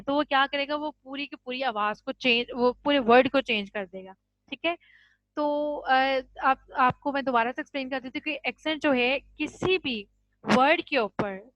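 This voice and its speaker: A woman teaching an English grammar class online, explaining slowly and checking in with "ठीक है" after each point. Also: high voice, a bit bright, no room sound. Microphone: computer mic on a video call. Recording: dull, dry video-call audio.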